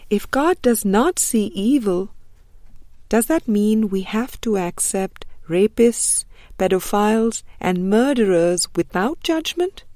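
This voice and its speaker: A woman reading a spiritual book as an audiobook narrator, measured and deliberate, with frequent pauses. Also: medium pitch, animated, darkish tone, no echo, clean studio sound. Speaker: audiobook reader.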